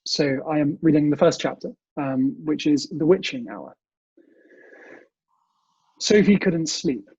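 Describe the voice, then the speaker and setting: A man, a guest reader who chats casually and reads storybooks aloud in a measured, expressive way over a video call. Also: on the high side, breathy edge. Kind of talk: storybook reading and casual chat. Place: indoors, remote online session. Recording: muffled, echoey video call audio.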